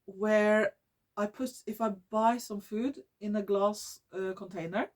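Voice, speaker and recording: A woman from Norway with a Norwegian accent, hosting her own vlog in English, which is not her first language, often pausing to search for words and restarting her sentences. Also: medium pitch, steady tone. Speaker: YouTube channel host. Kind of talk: casual vlog monologue.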